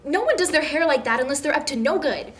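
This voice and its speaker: Meddling, self-assured college girl, acted by a young woman, brisk and teasing, high lively voice, table-read drama. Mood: pushy, teasing. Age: young adult. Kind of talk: table read of a pilot script. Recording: faint background noise.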